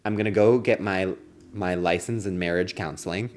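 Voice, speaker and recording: A man's voice: sits low, fairly even intonation, moderate pace. A man co-hosting a comedy podcast in casual chat, speaking in an emphatic, mock-dramatic way. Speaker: podcast co-host.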